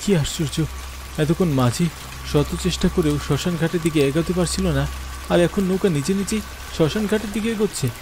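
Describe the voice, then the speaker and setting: A man's voice: fairly high pitch, a little fast. A man giving dramatic, scripted first-person narration of a horror story in a theatrical, earnest manner. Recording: clear rain noise behind the voice. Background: falling rain.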